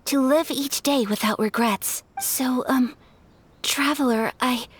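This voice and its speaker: A woman voicing a gentle, refined young noblewoman in an anime video game, soft, polite, earnest and a little shy. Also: sits high, slow pace, breathy edge, full character voice. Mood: nervous, earnest. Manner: polite, hesitant, sincere. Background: music.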